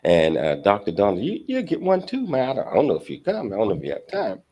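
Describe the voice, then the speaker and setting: A man's voice: fairly low pitch, huge pitch swings, a little fast, dull audio. A man hosting a talk show in a casual, rambling, chummy conversational way.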